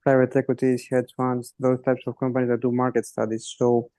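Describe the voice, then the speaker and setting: Greek-accented man on a group call, hesitant, soft mellow tone, dry. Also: medium pitch, completely flat delivery, no room sound. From Greece. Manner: hesitant, halting, many restarts. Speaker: caller on a support call. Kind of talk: casual question on a group call.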